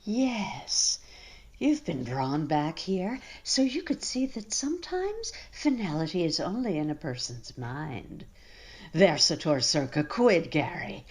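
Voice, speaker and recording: A woman's voice: low-pitched, very animated, slow pace, slightly rough, heavily acted. A woman playing a vengeful disembodied spirit in a radio horror drama, menacing and taunting. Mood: ominous.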